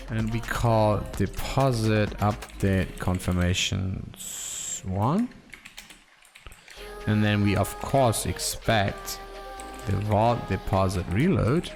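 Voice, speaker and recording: Guy coding on a stream, kinda low voice, talks real slow, faint music behind. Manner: muttering, slow and halting, reads code aloud. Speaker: programmer streaming live coding. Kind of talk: thinking aloud while coding.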